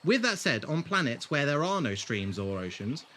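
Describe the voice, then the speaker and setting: Man acting eccentric time traveller, very lively intonation, theatrical, wordy, teasing, audio drama, faint background noise. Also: a little high, normal speed. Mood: enthusiastic, grand.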